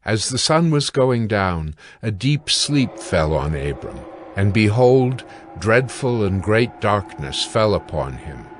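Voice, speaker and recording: A man narrating scripture from a script in a measured, formal reading manner. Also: lowish voice, sing-song, a little hiss or hum.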